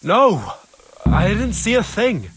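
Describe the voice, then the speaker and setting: A husky, fairly high man's voice plays a jumpy villager in a video game. He is panicky and evasive, stammering with wide swings in pitch. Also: huge pitch swings, slow, a bit bright, no room sound, exaggerated voice. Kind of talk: video game dialogue acting.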